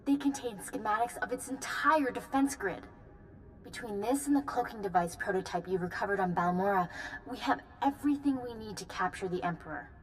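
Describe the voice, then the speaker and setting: Lady acting an earnest game briefing character, formal and calm, echoey with faint noise. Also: medium pitch, fairly quick, natural voice. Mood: triumphant. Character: earnest, dutiful female Jedi ally, calm planner. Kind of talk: video game cutscene acting. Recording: long reverb, faint background noise.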